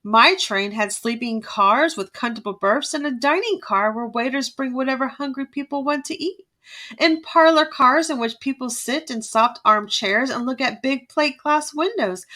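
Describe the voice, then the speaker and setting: Haughty talking train engine for a picture book, voiced by a woman: high, disdainful, self-satisfied. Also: normal speed, bright, forceful tone. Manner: snorting, indignant, disdainful. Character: haughty snobbish male shiny passenger engine.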